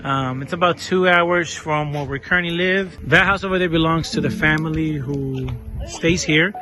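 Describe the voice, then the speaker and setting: An upbeat man interviews families outdoors in a casual vlog style, his voice sitting a little high for a man. Music plays clearly under the talk. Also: normal speed, bright, forceful tone. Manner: upbeat, friendly questioning, switches into Spanish.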